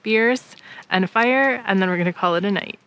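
A woman's voice: mid-range voice, normal speed, a bit bright. A woman, giving casual travel vlog narration. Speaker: travel vlogger.

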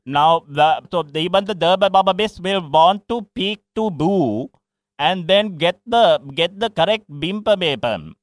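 A man's voice: sits high, lively intonation, no room sound. A man with a Sri Lankan accent voicing an anxious prank caller with a swollen face, slurred and stammering. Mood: anxious, pleading. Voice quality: slurred, tongue-tied speech. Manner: stammering, repetitive, halting.

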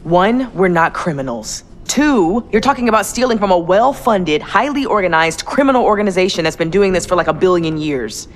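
An actress playing a sharp, skeptical, principled young woman who talks emphatically in a video game cutscene. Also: fairly low pitch, expressive, normal speed, hardly any pauses, slight background noise, close to an everyday voice. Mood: skeptical, exasperated. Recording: loud music under the voice.

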